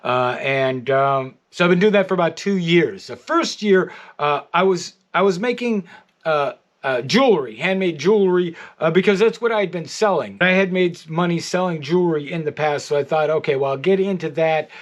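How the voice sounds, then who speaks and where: A middle-aged American man giving a casual, rambling video update, halting often and repeating his words. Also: a little high. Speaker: artist and toy maker. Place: art studio, indoors.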